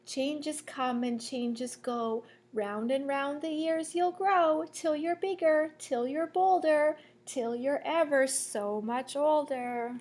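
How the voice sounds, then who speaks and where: High-pitched, unhurried woman reading to children. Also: steady tone, darkish tone. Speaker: teacher reading a picture book aloud to pupils. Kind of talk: children's read-aloud storytelling with asides to listeners.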